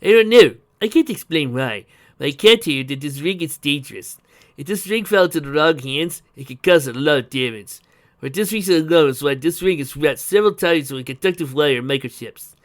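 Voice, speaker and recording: Male reader as old inventor uncle, excitable, emphatic, explanatory, storybook character. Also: on the high side, a little fast, natural voice. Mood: exulting, earnest.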